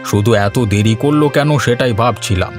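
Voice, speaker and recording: A man's voice: medium pitch, brisk, natural voice. Stern, firm village man voiced by an actor. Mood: stern, dismissive. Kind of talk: Bengali cartoon voice acting. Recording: prominent music behind the voice.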